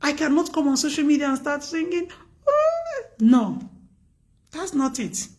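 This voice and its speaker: A woman speaks on a live stream in a high, loud voice. Her advice is earnest and emphatic, and she repeats herself to drive points home. Her tone is preachy and casual. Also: unhurried.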